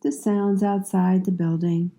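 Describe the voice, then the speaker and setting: A woman guides a relaxation practice as a yoga instructor. Her voice is fairly low and extremely mellow. She speaks very slowly and calmly, leaving long pauses between soothing, measured instructions. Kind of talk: guided meditation, scripted slow instructions.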